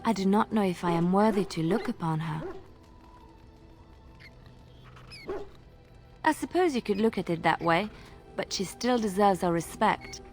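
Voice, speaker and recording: Woman playing a devout, gentle young heroine. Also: medium pitch, a little fast, faint music behind, natural voice. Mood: reverent. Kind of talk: video game character acting.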